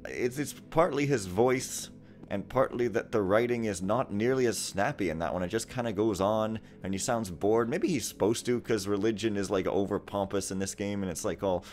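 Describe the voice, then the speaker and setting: A man's voice: lowish voice, moderate pace, darkish tone. A young man giving casual, wry, rambling commentary over a game he is playing. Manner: rambling, wry, sometimes reads game text aloud dramatically. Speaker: let's play commentator.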